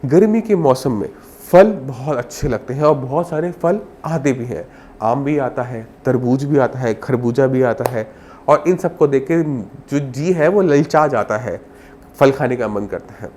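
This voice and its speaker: A man's voice: mid-range voice, unhurried, a little mellow, slight background noise. A man with a sore throat and cold, explaining health advice to viewers as a doctor in a direct, instructive way. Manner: instructive, addresses viewer directly with simple examples.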